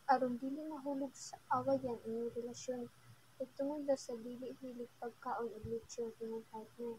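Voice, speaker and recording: A woman's voice: sits high, mellow, a little hiss or hum, low-quality recording. A woman with a Filipino accent giving casual advice as a radio listener. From Philippines.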